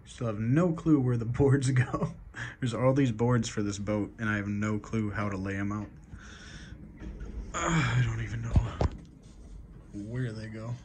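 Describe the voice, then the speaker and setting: A man in his thirties casually vlogging his sailboat restoration, chatty and relaxed, swearing and laughing to himself while holding the camera at arm's length. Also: fairly low pitch, a little slow, pauses often, slight background noise. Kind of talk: casual self-filmed vlog narration. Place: inside a small boat cabin and outdoors in a yard. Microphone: handheld action camera at arm's length.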